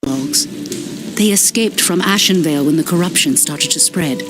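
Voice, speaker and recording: Een vrouw speelt een trotse elfenpriesteres. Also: female voice, lowish voice, normal speed, close to an everyday voice. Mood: proud. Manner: proud, scornful. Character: proud female elf priestess, fierce and scornful. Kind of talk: game cutscene acting. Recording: voice over loud music.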